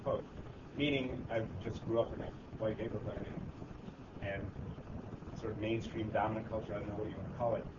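Low-voiced man telling a wry story. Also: steady tone, fairly quick. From Michigan, USA. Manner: wry, laughing, quoting others in dialogue. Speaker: law professor. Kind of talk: personal story in a group discussion. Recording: distant dull mic with loud noise.

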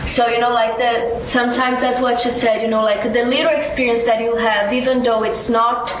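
A woman who grew up speaking Portuguese gives advice in a halting way, full of fillers and restarts. Her voice sits a little high and stays flat. It is caught in a very echoey room on dull, noisy audio. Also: recorded from a distance. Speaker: panelist sharing advice. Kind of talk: panel discussion.

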